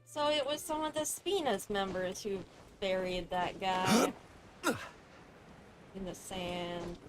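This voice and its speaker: A woman's voice: mid-range voice, takes it slowly, noisy background. A woman game streamer giving casual live commentary as she plays. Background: game music.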